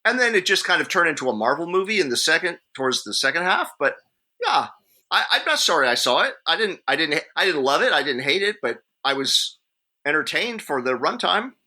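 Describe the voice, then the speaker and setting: A man on a podcast panel talking casually through his measured opinions in a rambling, hedging way. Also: on the high side, big pitch swings, bright, forceful tone. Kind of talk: casual movie discussion.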